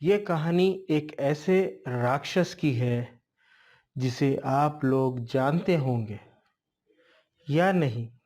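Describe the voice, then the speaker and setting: Very slow-talking male horror storyteller. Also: mid-range voice, darkish tone. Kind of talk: slow spoken ghost storytelling.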